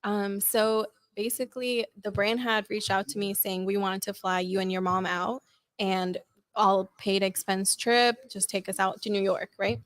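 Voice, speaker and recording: A woman's voice: medium pitch, measured pace. A young woman, a guest content creator, chatting casually and animatedly in a podcast interview. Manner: chatty, animated storytelling with "like" fillers.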